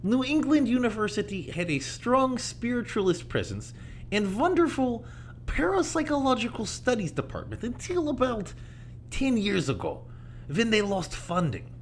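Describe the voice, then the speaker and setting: An actor playing a gently helpful, bookish older scholar in an audio play, speaking formally in measured phrases. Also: male voice, very high voice, sing-song, a little hiss or hum, exaggerated voice. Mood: gentle, informative.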